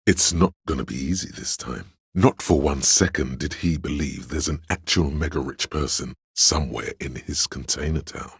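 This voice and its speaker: A scheming, theatrical servant of drama from a video game, counselling his liege in sly, archaic flourishes. The voice is profoundly low and thick with gravel. It is recorded close and very dry. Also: no room sound, exaggerated voice. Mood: suspicious, sly. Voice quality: gravelly, husky.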